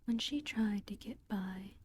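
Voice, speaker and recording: A woman whispering a storybook narration in a soft, steady hush. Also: mid-range voice, measured pace, a little hiss or hum. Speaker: audiobook reader.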